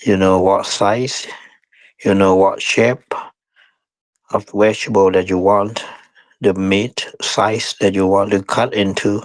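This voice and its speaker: Male meditation teacher, very slow and low, close dry sound, instructing in short spaced phrases. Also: level tone, no room sound. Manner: unhurried, short phrases with pauses; non-standard English grammar.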